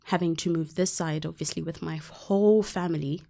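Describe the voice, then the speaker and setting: A woman's voice: deep voice, steady tone, a little fast, creaky, mellow. A woman from Zimbabwe, raised in South Africa, telling her story as an interview guest in an earnest, hesitant way with frequent ums.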